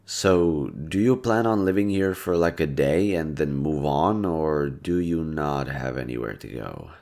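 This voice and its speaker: A young man in an audio roleplay, talking to a listener in a soft-spoken, laid-back and teasing way. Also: rumbling low, lively intonation, measured pace, gravelly. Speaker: voice actor.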